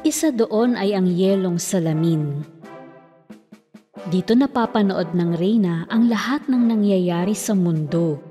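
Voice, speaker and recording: Mellow woman narrating fairy tales. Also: lowish voice, a little slow, big-room sound. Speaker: storyteller, narrator. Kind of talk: scripted children's fairy tale narration. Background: faint music.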